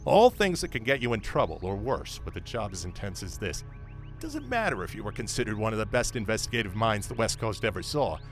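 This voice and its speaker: A man acting as a brusque police sergeant in an audio drama. His voice is low, with an authoritative, scornful and dismissive delivery, and music plays clearly behind him. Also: big pitch swings, brisk.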